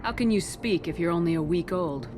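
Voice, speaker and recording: A woman playing a composed, direct female military commander in a video game, speaking in a measured, matter-of-fact way. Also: on the low side, noisy background, lightly acted. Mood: calm, questioning. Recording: music behind the voice.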